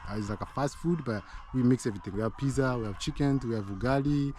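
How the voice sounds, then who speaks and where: A young man from Tanzania, a business owner being interviewed, talking in a relaxed, plain-spoken way. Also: medium pitch, quick pace. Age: young adult. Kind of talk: casual interview. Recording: noisy outdoor recording.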